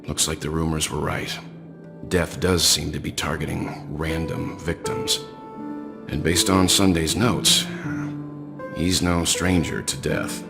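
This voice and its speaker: Very deep, courteous game investigator. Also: male voice, level tone, gravelly, big-room sound, over music, heavily acted. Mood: grave, analytical. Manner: measured, polite, formal. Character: calm, courteous, perceptive middle-aged male traveler and investigator. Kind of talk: video game voice acting.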